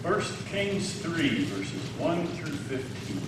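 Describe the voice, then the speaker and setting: A man's voice: fairly high pitch, sing-song, slow, heavy background noise. A man in his sixties preaching a sermon in a conversational way, asking his listeners questions. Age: 60s. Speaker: pastor. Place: large echoey hall. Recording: echoey hall, distant mic.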